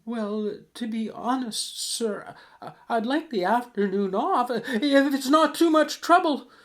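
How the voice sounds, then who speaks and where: Man acting a timid, high-pitched clerk. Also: takes it slowly. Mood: nervous pleading. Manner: stammering, pleading. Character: timid, nervous, deferential male clerk, Cratchit. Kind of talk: rhyming verse storytelling.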